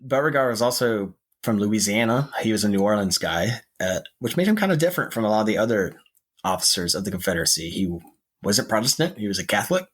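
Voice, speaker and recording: A man with a husky voice telling history in a conversational podcast style. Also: on the low side, expressive, fast talker, a bit bright. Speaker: podcast co-host.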